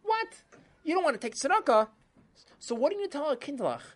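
A woman voicing a poor, desperate wife who pleads with her husband in a shrill, exclaiming voice. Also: very animated, brisk. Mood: pleading.

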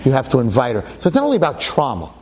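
A man's voice: medium pitch, wildly swooping pitch, racing, a little hiss or hum. A man giving a Torah lecture to a class, emphatic and dramatic, repeating phrases and asking rhetorical questions. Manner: public speaker.

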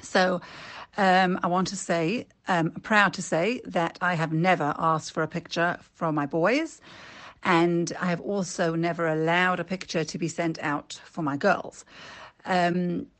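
Middle-aged British mother, low voice. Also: steady tone, measured pace, fairly bright tone. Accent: British with South African tinge. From England. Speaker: mother sending a voice note. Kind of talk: casual recorded voice note.

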